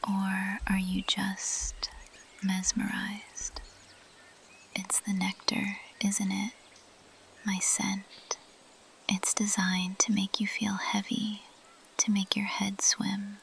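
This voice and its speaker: An ancient, possessive plant monster woman, acted by a woman for ASMR, whispers close to the mic. Her delivery is slow, breathy and even, teasing her prey with quiet menace. A light bed of background noise sits behind the voice. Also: mid-range voice. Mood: seductive, possessive. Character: seductive, possessive female carnivorous plant monster, ancient predator. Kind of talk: ASMR roleplay monologue. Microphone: close microphone.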